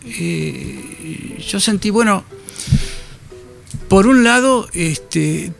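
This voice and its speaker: An older man from Argentina with a Rioplatense accent, an author answering interview questions in a halting, reflective way with many fillers. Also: high-pitched, expressive, gravelly, a bit bright, faint music behind. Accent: Rioplatense Spanish. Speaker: author interviewed about his novel.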